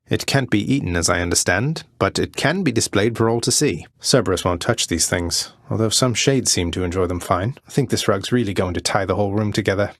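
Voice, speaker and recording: Casting: sardonic young prince of the underworld for a game; low register, dry studio sound, courtly and understated. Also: male voice, no room sound. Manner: wry, courtly, understated delivery. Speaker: video game voice actor. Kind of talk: scripted video game character lines.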